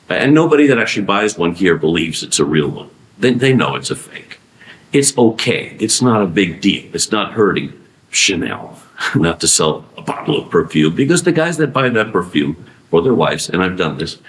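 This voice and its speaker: Man, very low voice, conference speaker, rhetorical questions, echoey hall. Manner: animated, asks questions and answers them himself. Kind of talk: conference presentation. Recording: echoey room.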